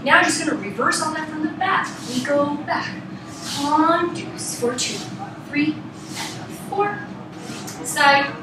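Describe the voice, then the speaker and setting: High-voiced woman teaching ballet, very echoey. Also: slow pace, background noise. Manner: encouraging, explanatory, short rhythmic cues. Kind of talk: instructional dance class, calling counts and cues. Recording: very echoey room, distant mic, loud music. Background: loud music.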